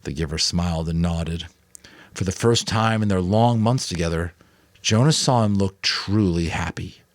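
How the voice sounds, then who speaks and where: A man with a fairly low voice works as an audiobook narrator. He reads dramatically and drops into casual commentary, recorded dry with very little room sound. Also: moderate pace, no room sound.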